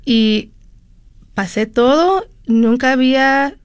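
A woman's voice: high voice, expressive, takes it slowly, clean tone, no echo. A middle-aged woman from California, a lawyer and interview guest, tells her story in a chatty way, with frequent fillers and switches into English. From California, USA. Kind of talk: conversational storytelling.

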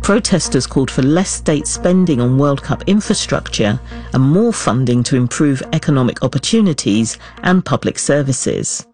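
A man hosting a documentary podcast, reading scripted narration in a clear, emphatic way. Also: medium pitch, expressive, normal speed, a little mellow, soft background music.

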